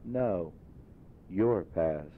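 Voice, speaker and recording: A solemn little spirit from a storybook, played by a male reader, speaks gently and with grave authority. The words are measured. The recording is narrow as a phone line, with light background rumble. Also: expressive, heavily acted. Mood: calm. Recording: muffled phone-like audio, rumbling noise.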